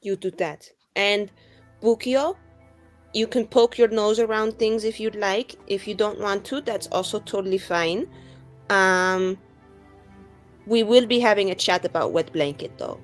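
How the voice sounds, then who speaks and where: A woman's voice: medium pitch, unhurried, faint music behind. A woman playing a tabletop roleplaying game, talking in a casual, improvised style.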